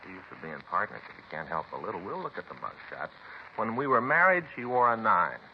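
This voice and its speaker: A man's voice: on the low side, big pitch swings, quick pace, creaky. A man playing a fretful, rambling American police detective in a 1950s radio drama, folksy and plaintive in his long-winded way of talking. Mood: wounded, subdued. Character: fretful, rambling married male police detective, aggrieved sidekick. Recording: muffled, hissy old radio broadcast.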